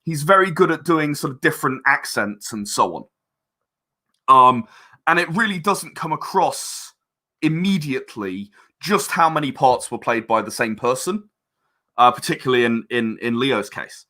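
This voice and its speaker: A man's voice: medium pitch, lively intonation, normal speed, sharp tone. A man being interviewed as an audio drama writer and director, talking conversationally in a reflective, self-deprecating and hesitant way.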